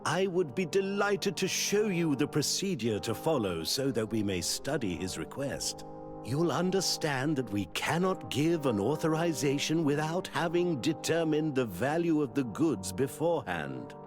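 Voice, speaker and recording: Pompous minister with swooping intonation. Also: male voice, fairly high pitch, sing-song, music in the background. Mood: stalling, condescending. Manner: pompous, bureaucratic, deliberate. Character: officious, self-important male government minister, smoothly obsequious. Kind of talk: video game dialogue acting.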